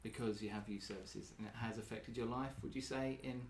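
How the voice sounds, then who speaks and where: A man hosting a group talk in a meeting room, asking gentle questions with many hesitations and ums. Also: lowish voice, fairly even intonation, fairly quick, a little hiss or hum. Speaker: group facilitator and podcast host. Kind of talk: casual group discussion. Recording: roomy echo.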